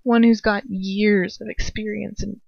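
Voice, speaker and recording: A woman reading a story aloud in an expressive amateur narration, with dramatic emotion and casual asides. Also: mid-range voice, a little slow, creaky. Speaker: fan fiction reader. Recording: dull, lo-fi, dry home recording.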